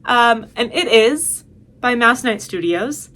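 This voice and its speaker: A woman's voice: fairly high pitch, animated, slow pace, a bit bright. A woman talking casually as a video host, giving chatty, emphatic and enthusiastic recommendations.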